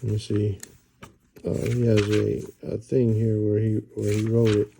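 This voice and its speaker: A middle-aged American man showing and describing books in a relaxed, rambling way, with many fillers and restarts. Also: lowish voice, unhurried, gravelly, noisy background. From United States. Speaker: book reviewer. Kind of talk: casual book show-and-tell.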